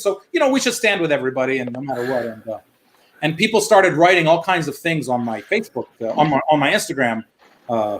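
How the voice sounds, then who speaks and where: A young man from the United States does a stand-up set for a live stream, his voice sitting well up in pitch. He tells the story in lively bits and jumps into the lines of the people in it. A faint noise runs under him. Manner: storytelling, acts out his mom's and friend's lines in quick back-and-forth. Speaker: stand-up comedian.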